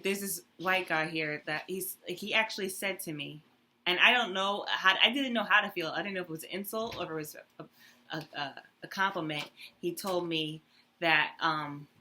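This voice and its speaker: A young woman chats casually with an old friend, telling workplace stories with animated delivery and quick laughter. Her voice is bright and forceful, pitched a little low for a woman, with faint background noise in the room. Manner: animated storytelling, quick laughs, acts out quotes. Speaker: co-host. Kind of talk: casual chat between friends.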